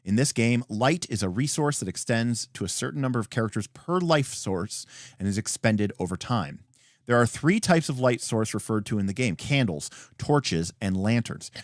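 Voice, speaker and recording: A man hosting a read-along, reading a game rulebook aloud in an emphatic, deliberate way with brief asides. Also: medium pitch, animated, dry recording, clean studio sound. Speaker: host.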